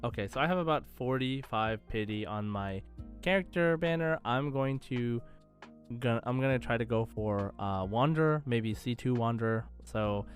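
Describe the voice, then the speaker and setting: A man's voice: medium pitch, moderate pace, faint music behind. A man co-hosting a casual podcast about gaming, talking informally to a co-host and listeners.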